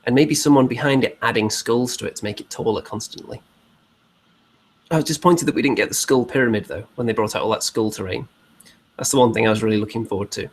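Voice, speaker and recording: Lowish-key man chatting over faint noise. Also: fast. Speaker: co-host of a hobby show. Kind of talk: casual chat.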